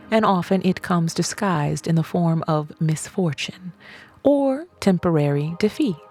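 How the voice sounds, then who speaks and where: A woman reading an audiobook as scripted narration, in a measured, deliberate way with emphatic phrasing. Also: low voice, gravelly, dark tone. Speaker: audiobook reader.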